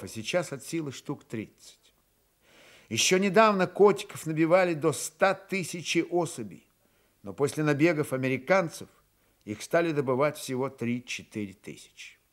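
A man narrating a literary radio drama with expressive, theatrical phrasing. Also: on the high side.

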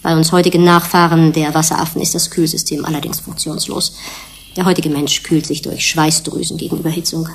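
An actress playing an earnest scientist in a German radio drama, lecturing colleagues in a measured, precise, didactic way. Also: female voice, low-pitched, level tone, slight background noise. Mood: earnest, explanatory.

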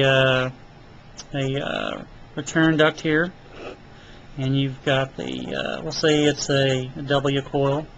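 Guy walking thru a diagram, kinda high voice, halting with lots of uhs, dull lo-fi home video with a bit of hiss. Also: fairly bright tone. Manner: casual, halting, many fillers. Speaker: HVAC technician. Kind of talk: explaining while sketching diagrams. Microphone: camera filming paper close up.

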